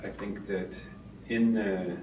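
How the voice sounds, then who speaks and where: A man's voice: low voice, fairly even intonation, measured pace, mellow. A man in his fifties, answering interview questions in a thoughtful, hesitant way. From Canada. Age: 50s. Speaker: guest, co-founder. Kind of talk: casual interview. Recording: roomy, distant mic, background noise.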